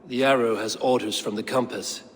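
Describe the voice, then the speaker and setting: A man's voice: medium pitch, fairly even intonation, normal speed, close to an everyday voice. Stern Viking raider played by a man, reverberant. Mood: grim resolve. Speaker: playable lead character. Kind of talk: video game voice acting.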